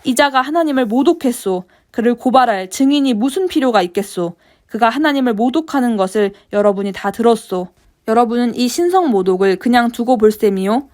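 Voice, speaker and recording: A woman reading the words of a high priest in a Bible passage, stern and accusing, in her own voice. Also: lively intonation, fairly quick, darkish tone, close to an everyday voice. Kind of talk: scripture reading.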